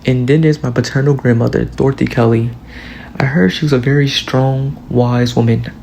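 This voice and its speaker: Early-20s man, gravelly, steady scripted class-presentation read. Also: mid-range voice, moderate pace, a little hiss or hum. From Louisiana, US. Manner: steady reading of a prepared script. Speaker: student presenter.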